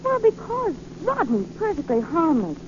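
A woman's voice: extremely high pitch, huge pitch swings, moderate pace, heavily acted. An actress playing a charming, earnest young American society woman in a 1940s radio drama. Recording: muffled, noisy 1940s radio recording.

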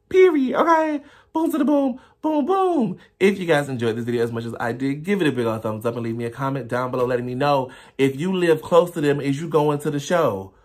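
A young man reacting to a music video trailer in his living room, talking casually with playful, dramatic exclamations. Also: a little high. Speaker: reaction YouTuber. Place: small home living room, indoors.

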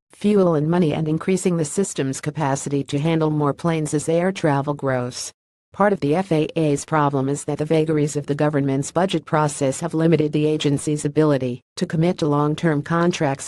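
A text-to-speech style voice reading a wire news story at a middling pitch. The delivery stays even and uninflected from sentence to sentence. The sound is dry and close, with no room around it. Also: no room sound. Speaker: news reader.

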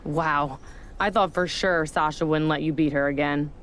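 A low-voiced, pushy recruiter. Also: female voice, steady tone, moderate pace, fairly bright tone, a little hiss or hum. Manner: blunt, pushy. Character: demanding female wrestling recruiter.

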